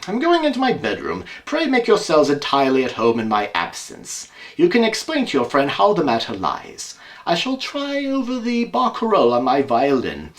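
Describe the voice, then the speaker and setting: A man playing a suave, mocking gentleman detective in an audio drama, with a genteel, precise, drily amused delivery. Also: on the high side, pitched above the performer's own voice. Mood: smooth confidence. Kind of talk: amateur audio drama acting.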